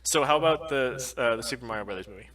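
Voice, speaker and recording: A man's voice: mid-range voice, expressive, fast talker, crowd noise, rough recording. A man co-hosting a podcast in casual chat, speaking drily and adding wry comments. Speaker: podcast co-host.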